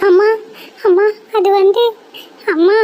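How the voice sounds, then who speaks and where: A woman doing a loud fretful sparrow chick. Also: very high voice, steady tone, slow, a little hiss or hum. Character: anxious obedient girl sparrow chick. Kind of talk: cartoon voice acting.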